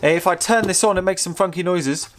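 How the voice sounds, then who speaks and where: A man giving casual, unscripted commentary as a host showing a gadget, rambling and halting with repeated words, curious and easily amused. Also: sits high, background noise. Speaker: host of a vintage technology channel.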